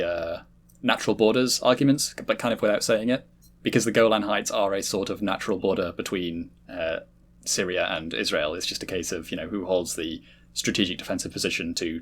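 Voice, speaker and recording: A man discussing politics casually, with hesitant, reflective phrasing. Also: deep voice. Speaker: panel regular.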